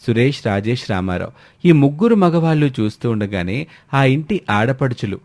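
Casting: male narrator for scripted moral stories, mellow tone, mid pitch, dry studio-like sound. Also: very animated, normal speed, no room sound.

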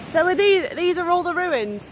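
A woman's voice: very high-pitched, expressive, normal speed. A woman in her twenties chatting casually as she films a travel vlog outdoors on a phone. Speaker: vlogger. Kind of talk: casual chat while filming a travel vlog. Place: outdoors on a beach and shoreline. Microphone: phone at arm's length. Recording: windy outdoor phone recording with surf. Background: wind and waves.

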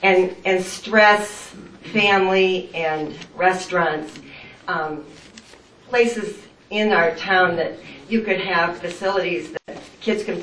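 An older woman speaking up at a town meeting, halting and hesitant, admitting she is nervous. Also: on the low side, a bit bright, a little hiss or hum. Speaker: resident speaking at a public meeting. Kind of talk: public comment at a town meeting. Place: meeting room with a projection screen. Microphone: far room microphone. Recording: roomy hall, distant microphone.

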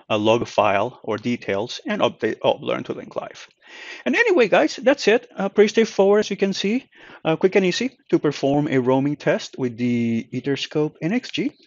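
A man's voice: mid-range voice, dry recording. Man presenting a tutorial, slightly dull recording. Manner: conversational, explanatory. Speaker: tutorial presenter, company manager. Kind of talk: informal software tutorial narration.

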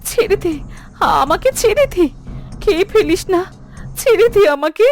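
A woman playing a devoted, gentle royal heroine in an animated fairy tale, speaking earnestly and with emotion. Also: very high voice, normal speed, faint music behind. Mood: terrified.

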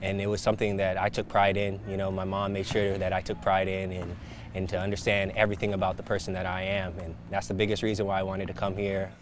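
A young man, calmly answering interview questions as a basketball player. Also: low voice, fast, noisy background. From United States. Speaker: national team player. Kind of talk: sit-down interview. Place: indoor arena seats.

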